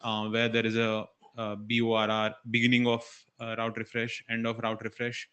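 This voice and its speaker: A man's voice: medium pitch, monotone, normal speed, fairly bright tone, clean tone. A man speaking as an engineer at a working group, offering technical comments with some hesitation.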